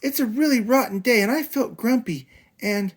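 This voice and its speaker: A man's voice: very high-pitched, normal speed, slightly rough, voice pushed up. A man voicing a grumpy, cheeky young girl bear cub with whiny, childlike protests in a storybook.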